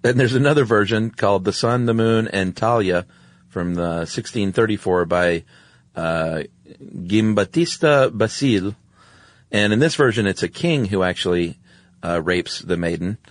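Low-voiced droll male podcast co-host. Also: lively intonation, normal speed, dry recording. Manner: droll, offhand. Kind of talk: casual two-person chat, retelling stories.

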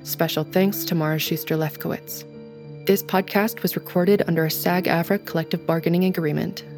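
A woman's voice: fairly low pitch, fairly even intonation, a little fast, a little mellow. A woman announcer giving a scripted reading of credits. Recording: music behind the voice.

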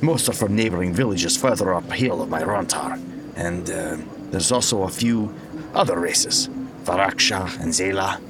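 A man's voice: low-pitched, noisy background. A man playing a blunt, easygoing village headchief of a small people in a fantasy audio drama. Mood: informative, casual. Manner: casual, blunt, unhurried.

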